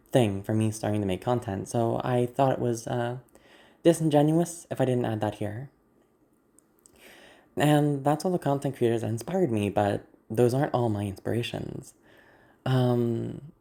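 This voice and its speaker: An ASMR content creator with a naturally gravelly, growly voice, talking to viewers in a candid, self-deprecating, rambling way. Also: mid-range voice, warm tone. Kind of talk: casual personal talk to viewers.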